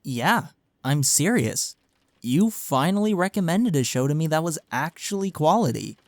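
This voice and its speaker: A man's voice: a little high, lively intonation, moderate pace, no room sound. A young man acting in an intimate audio roleplay as a caring, teasing college best friend, talking casually and earnestly. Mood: playful, chatty. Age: young adult.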